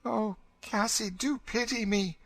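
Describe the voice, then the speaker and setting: A man plays a frightened young girl in a storybook. The pitch is pushed very high, and the delivery is eager, breathless and pleading, recorded dry. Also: fairly even intonation, slow pace, fairly bright tone, dry recording, full character voice. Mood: pleading, miserable. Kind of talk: dramatic audiobook character reading.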